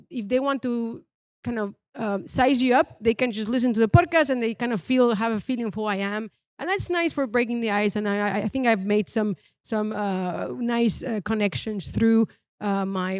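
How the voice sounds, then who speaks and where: A woman in her thirties with an Argentinian accent, a Spanish native speaker, talking on a live podcast panel. Her voice sits fairly high, and she pauses often to find the right word. The sound is close and dry. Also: darkish tone, dry recording. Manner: thoughtful, searching for words, many fillers. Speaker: podcast co-host.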